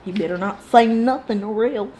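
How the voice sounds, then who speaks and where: A woman impersonates a menacing young man who keeps issuing clipped threats. The delivery is terse and pushy. The voice sits a little above her usual pitch. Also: moderate pace, dark tone, slight background noise, lightly acted, rough recording.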